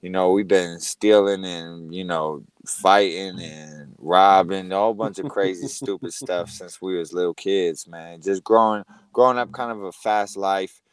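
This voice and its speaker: A very low-pitched man from Connecticut talks casually as an interview guest, his voice smooth and resonant. The sound is dry and close, with no room around it. He keeps it laid-back and fills his sentences with "you know.". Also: measured pace, hardly any pauses, dry recording. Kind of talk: casual conversation. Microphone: headphones on a remote call.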